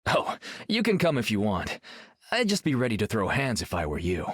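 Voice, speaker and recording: An actor voicing a cocky, casual fighter in a video game. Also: male voice, fairly low pitch, huge pitch swings, moderate pace, slightly rough, no room sound, studio quality, exaggerated voice. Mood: breezy, cocky. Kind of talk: video game character voice lines.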